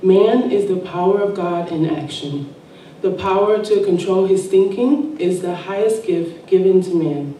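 Woman reading at church, slow and reverent, super echoey hall, faint room hum. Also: medium pitch, mellow. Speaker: church service reader. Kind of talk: reading spiritual text aloud. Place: church sanctuary. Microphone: distant room mic. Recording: echoey sanctuary from far off.

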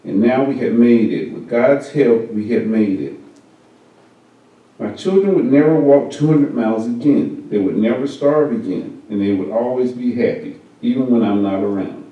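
A man reading a first-person story aloud at a church service, in a measured, unhurried way. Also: medium pitch, lo-fi sound. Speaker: service reader. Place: church meeting room. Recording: very echoey hall, mic far off.

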